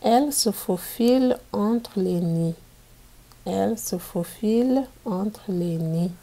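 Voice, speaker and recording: A woman reading a picture book aloud to children as a teacher, in a deliberate manner made for learners. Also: medium pitch, dark tone. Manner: deliberate, repeats sentences for learners.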